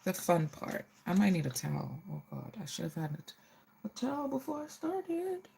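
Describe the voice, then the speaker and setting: A woman's voice: medium pitch, wildly swooping pitch, normal speed, gravelly, dark tone, husky. A middle-aged woman hosting a casual get-ready livestream, chatting to viewers and often repeating her phrases.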